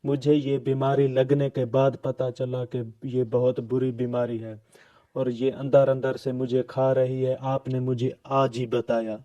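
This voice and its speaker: A man's voice: mid-range voice, level tone, a little slow, mellow, lo-fi sound, lightly acted. A man playing a pleading, exasperated husband and father in a cartoon. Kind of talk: cartoon dialogue.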